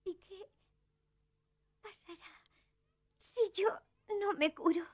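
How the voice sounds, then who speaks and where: Una actriz de doblaje con acento castellano interpreta a una niña temerosa, frágil y educada, de habla vacilante y quejumbrosa, en dibujos animados. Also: female voice, very high-pitched, slow, a little mellow, dry recording, muffled recording. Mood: hushed, doubtful. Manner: hesitant, plaintive. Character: fearful, fragile, polite young girl, easily discouraged. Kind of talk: dubbed cartoon acting.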